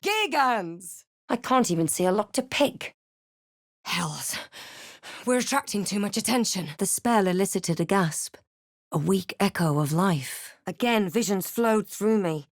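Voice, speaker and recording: A woman playing a snarky, wary female adventurer in a fantasy video game, with a crisp, terse and dramatic delivery. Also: mid-range voice, lively intonation, a little slow, dry recording, studio quality. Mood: forceful incantation. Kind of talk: fantasy video game lines, short remarks and narrated thoughts.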